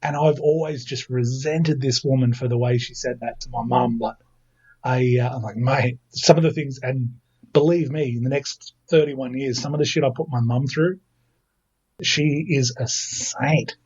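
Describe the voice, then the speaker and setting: A man's voice: mid-range voice, steady tone, normal speed, darkish tone, breathy edge, muffled recording. A man in his thirties with an Australian accent, a podcast guest chatting casually and joking at his own expense, often restarting his sentences. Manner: chatty, self-mocking, frequent restarts. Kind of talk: casual chat.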